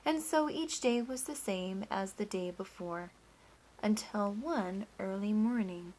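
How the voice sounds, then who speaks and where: A woman teacher reading a picture book aloud, pausing to explain and to ask the children questions. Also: mid-range voice, a little mellow, slight background noise, poor audio.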